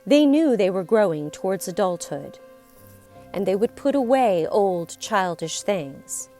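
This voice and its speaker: Female storyteller for dark bedtime tale narration; unhurried, deliberate, dramatic delivery over a music bed. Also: mid-range voice, lively intonation. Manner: deliberate, theatrical delivery with dramatic pauses and emphasis. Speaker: podcast storyteller.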